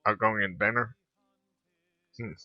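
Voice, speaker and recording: A man hosting a casual gaming playthrough, halting and rambling as he reads dialogue aloud and mutters asides, on a dull, dry mic with clicking. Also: fairly low pitch, fairly even intonation, no room sound. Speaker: gaming channel host. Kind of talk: casual let's play commentary, reading dialogue aloud.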